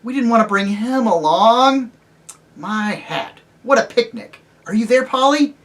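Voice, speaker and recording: A woman voicing a plucky, determined young boy who speaks in a hurried, earnest way. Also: a little high, animated, voice pushed up. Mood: exasperated.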